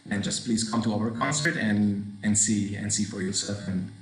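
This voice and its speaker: A low-voiced man explains a score over a video call, hesitating and repeating himself as he searches for words. His voice rings in an echoey room. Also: moderate pace. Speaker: performer presenting a score. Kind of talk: webinar talk.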